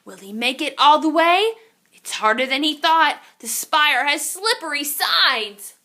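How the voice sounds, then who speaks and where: A woman's voice: high-pitched, animated, a little slow, pushed and bright. A woman reading a children's picture book aloud in a theatrical, suspenseful way, drawing out her exclamations. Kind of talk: animated children's story read-aloud.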